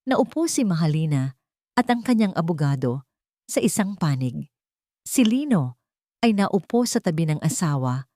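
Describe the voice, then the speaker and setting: Female narrator for dramatic fiction, lower register, measured phrasing, dry studio-style sound. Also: sing-song, warm tone, no room sound. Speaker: storyteller, narrator. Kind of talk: scripted dramatic story narration.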